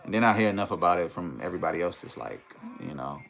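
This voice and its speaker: Low-voiced American man chatting on a livestream. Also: animated, fast talker, creaky, fairly bright tone, faint music behind. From United States, Maryland and Washington DC area. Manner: conversational, uses slang and tag phrases like "you know". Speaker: livestream host chatting with viewers. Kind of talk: casual livestream chat.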